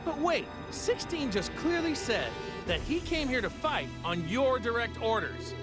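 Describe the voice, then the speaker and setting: An earnest young hero, high and extremely animated. The delivery is emphatic and indignant, an anime game voice with very loud music behind. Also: female voice, unhurried. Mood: shocked. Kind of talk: English anime video game voice acting.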